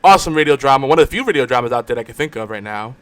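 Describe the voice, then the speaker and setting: A man's voice: mid-range voice, expressive, very fast. A man hosting a podcast in casual chat, talking like an enthusiastic fan. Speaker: podcast host.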